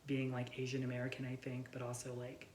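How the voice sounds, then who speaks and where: A man's voice: mid-range voice, completely flat delivery, fast, over a noisy crowd. A man co-hosting a casual book chat with a friend, speaking informally and peppering sentences with "like". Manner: informal, filler-heavy with frequent "like". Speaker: book vlogger and co-host. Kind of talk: casual chat between friends about books. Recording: echoey room with faint noise.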